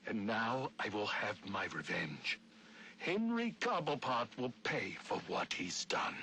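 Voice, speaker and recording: An actor playing a vengeful nineteenth-century gentleman schemer narrating his journal in a game, pompous, florid and melodramatic. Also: fairly low pitch, sing-song, slow pace, slight background noise, exaggerated voice. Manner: pompous, florid, melodramatic, with cackling and hushed menace. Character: gleefully vengeful 19th-century gentleman schemer, theatrical diarist.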